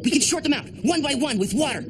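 Earnest, quick-talking teen boy. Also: high voice, lively intonation. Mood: urgent. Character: earnest, worried teenage boy, loyal voice of reason. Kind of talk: cartoon voice acting. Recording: music behind the voice.

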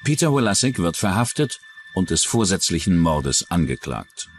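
A man's voice: deep voice, big pitch swings, moderate pace, slight background noise. A man narrating a true-crime documentary from a script as its narrator.

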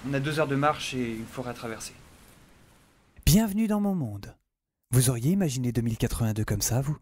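A man's voice: mid-range voice, lively intonation, fairly quick, a little mellow, slight background noise, close to an everyday voice. An actor playing a sarcastic, geeky young male scientist in an audio drama, wryly telling his adventure and rambling. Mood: exasperated, then sardonic. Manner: wry, rambling, talks to the listener. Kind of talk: audio drama narration and dialogue.

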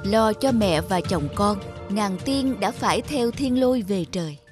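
A woman giving scripted storybook narration for an animated fairy tale. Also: medium pitch, a little slow. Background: loud music.

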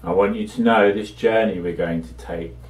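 A man leading a guided meditation with measured, soothing instructions and long pauses, recorded echoey and distant from the microphone. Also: fairly low pitch, fairly even intonation, faint music behind.

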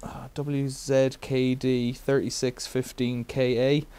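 A man's voice: medium pitch, flat delivery, slow pace, mellow, clear, resonant tone. A middle-aged man casually demonstrating a bike repair in a cluttered workshop, rambling with wry asides.

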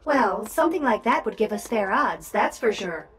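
High, animated female drone sidekick for a sci-fi game, played by an actress; cheeky and talkative, clear effects noise behind. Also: moderate pace, close to an everyday voice. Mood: curious. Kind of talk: video game voice acting.

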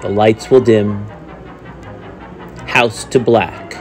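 Male promo narrator, low register, very slow dramatic scripted read. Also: fairly even intonation, faint music behind.

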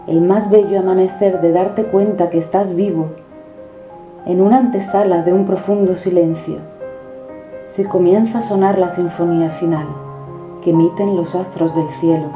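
Woman reciting poetry slow and solemn, roomy echo, soft music behind. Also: on the low side. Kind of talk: declaimed spoken-word poetry. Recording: dull reverberant recording over music. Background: background music.

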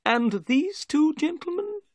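A man voicing a nervous, excitable little bald gentleman in an audiobook, with an anxious, fussy manner. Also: very high-pitched, dry recording, clean studio sound, pitched above the performer's own voice, full character voice. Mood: nervous storytelling. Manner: anxious, exclamatory, drawn-out words.

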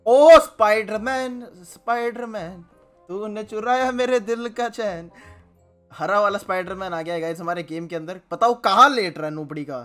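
Young adult male gaming host, slangy and teasing reactions, casual commentary over clear background music. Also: high voice, moderate pace. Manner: animated, slangy, teasing exclamations.

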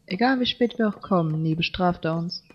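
A woman's voice: lowish voice, brisk, lightly acted. A woman voicing an earnest, fussy teenage boy obsessed with order in a German fan-dubbed anime audio drama. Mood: pondering. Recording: dull lo-fi audio with music.

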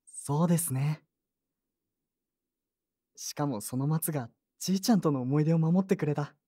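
A woman playing an earnest, polite young man in an audio drama, soft-spoken and sincere. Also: deep voice, normal speed. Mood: earnest, surprised. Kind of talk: Japanese audio drama acting and first-person narration.

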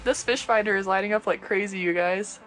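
A woman's voice: mid-range voice, fairly quick, fairly bright tone, faint music behind. A young American woman chatting casually and explaining her fishing setup to viewers, recorded on an action camera inside a small tent. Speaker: vlog co-host. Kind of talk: casual outdoor chat.

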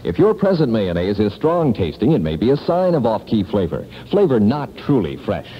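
A man's voice: on the low side, huge pitch swings, normal speed, slightly rough. A man reading a scripted 1960s radio commercial as an announcer on an old AM broadcast. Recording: dull 1960s AM radio aircheck.

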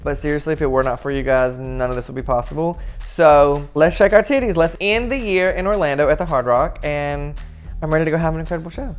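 A young man talks excitedly and playfully about his work. He sits in a backstage room and speaks into a lapel mic. Faint music plays under him. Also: fairly high pitch, fast talker. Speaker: comedian. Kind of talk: casual backstage interview.

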